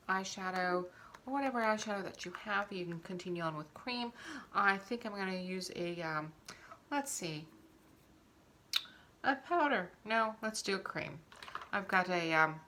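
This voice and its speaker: Bright-voiced woman giving a makeup tutorial. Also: fairly low pitch, a little hiss or hum. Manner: instructional, talking while demonstrating. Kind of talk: casual step-by-step makeup tutorial.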